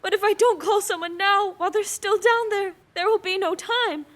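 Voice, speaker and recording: Very high-pitched frantic invalid woman acted out by a woman. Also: moderate pace, a bit bright, a little hiss or hum. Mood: alarmed, fearful. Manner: imperious, pleading, agitated. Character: frantic, demanding, bedridden nervous invalid woman. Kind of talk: suspense radio play acting.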